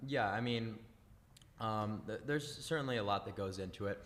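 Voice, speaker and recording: A man hosting a couple's session, giving his opinions in halting casual talk full of uhs and you knows. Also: fairly low pitch, fairly bright tone, big-room sound. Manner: halting, many uh and you know. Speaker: host giving advice.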